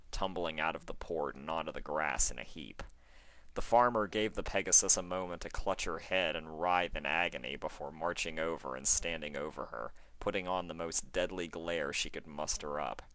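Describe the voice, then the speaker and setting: Low, bright-voiced man narrating a story. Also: dry recording. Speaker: fan fiction reader. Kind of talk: expressive scripted story narration.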